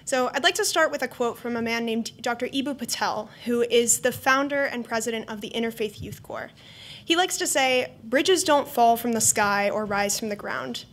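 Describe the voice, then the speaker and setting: A woman's voice: a little high, normal speed, a bit bright. A young woman from Idaho, a college senior, giving an earnest prepared speech at a campus event. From Boise, Idaho. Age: young adult. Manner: earnest, reading from prepared remarks. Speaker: student speaker.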